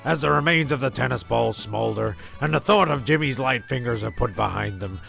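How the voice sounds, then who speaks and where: A man reading scripted comic story narration in a gravelly voice. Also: medium pitch, fairly quick, a bit bright, soft background music.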